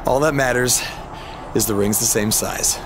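A man's voice: medium pitch, moderate pace, lightly acted. A man playing an earnest young underdog wrestler in a video game story. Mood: nervous, earnest. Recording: noisy arena and backstage ambience.

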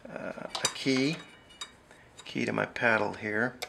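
A middle-aged man with a gravelly voice giving a casual, halting hands-on demonstration at an indoor desk. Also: on the low side. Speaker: amateur radio hobbyist demonstrating gear. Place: small indoor radio desk. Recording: steady noisy background hiss and ambience.

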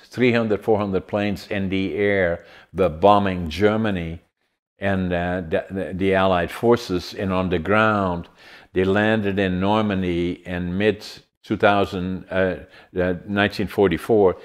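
Dutch-accented older man, very deep. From Netherlands. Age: 80s. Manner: halting, with many fillers and restarts. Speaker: podcast host. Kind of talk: casual interview chat. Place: home room with large window. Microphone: video call.

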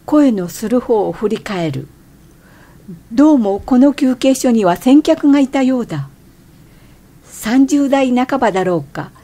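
An actress playing an irritable middle-aged woman hiker who narrates her thoughts in expressive radio drama style. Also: on the high side, measured pace, long pauses. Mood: observing. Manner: expressive, theatrical inner monologue.

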